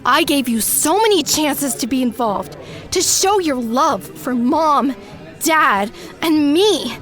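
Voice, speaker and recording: A high-voiced young sister, played by a woman in a radio drama, pleading one moment and cold and cutting the next. Soft music runs under her lines. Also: animated, takes it slowly, heavily acted. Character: Otto's bitter, desperate sister, young adult. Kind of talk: audio drama.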